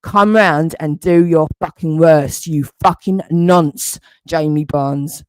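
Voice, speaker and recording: A man's voice: a little high, steady tone, slow, smooth, no echo. A British man in an angry, threatening rant, swearing heavily. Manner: furious, threatening, heavy swearing.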